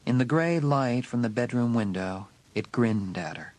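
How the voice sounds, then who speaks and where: A man's voice: medium pitch, animated, a little mellow. Suspenseful male horror audiobook narrator. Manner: suspenseful, deliberate emphasis. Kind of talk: dramatic scripted horror narration.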